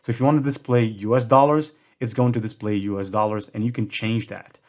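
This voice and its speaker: A man's voice: fairly low pitch, fairly even intonation, brisk, darkish tone. A young man from Morocco hosting a screen-recorded tutorial, talking in a casual way with self-deprecating jokes. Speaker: tutorial host.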